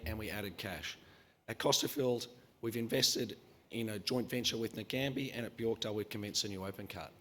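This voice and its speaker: A man's voice: medium pitch, fairly bright tone. Brash man presenting, voice going. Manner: brash, confident, quick-fire delivery. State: losing his voice. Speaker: mining company CEO presenting. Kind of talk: conference presentation. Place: conference hall.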